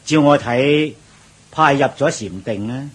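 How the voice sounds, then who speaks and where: An actor playing a patient, deferential Buddhist monk who explains things gently and in a measured way in a radio drama. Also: male voice, medium pitch, husky. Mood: explaining. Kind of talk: Cantonese radio drama acting.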